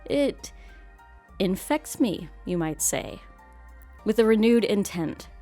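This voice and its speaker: A woman's voice: mid-range voice, lively intonation, takes it slowly, dark tone, soft background music. A woman hosting a radio horror show, reading a scripted introduction in an arch, wry, theatrical manner.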